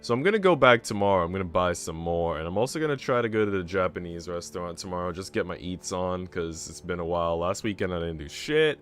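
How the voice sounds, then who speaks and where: A man's voice: deep voice, lively intonation, fast talker, hardly any pauses. A man livestreaming in casual chat, talking in a slangy, profane, bro-ish way. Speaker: streamer. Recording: music behind the voice.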